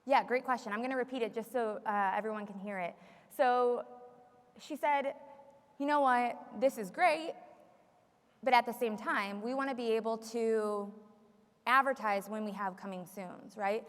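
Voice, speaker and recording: A woman leading a live software tutorial as a trainer, explaining step by step to a room. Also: fairly high pitch, normal speed. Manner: explanatory, repeats audience questions for the room. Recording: long echoey room reverb.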